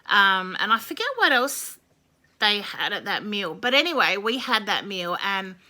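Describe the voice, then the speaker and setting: A woman with a slightly croaky voice explaining a holiday in a casual, meandering way, with many ums and self-corrections. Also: mid-range voice, lively intonation, measured pace, pushed and bright. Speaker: video host explaining a holiday. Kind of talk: casual explanatory talk to camera.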